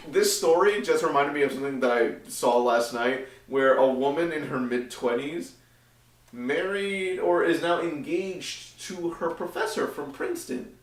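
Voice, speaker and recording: A young man co-hosting a casual podcast, reading an article aloud and joking with frequent laughs. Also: on the high side. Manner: joking, laughs often, mock-reads quotes. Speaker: podcast co-host. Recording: echoey room, distant mic.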